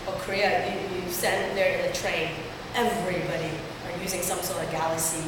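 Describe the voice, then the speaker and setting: A woman's voice: fairly low pitch, fairly even intonation, moderate pace. A woman from Hong Kong giving a conference talk in a chatty way, with many fillers and restarts. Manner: conversational, filler-heavy presenting. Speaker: conference speaker. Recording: echoey hall from far off, with noise.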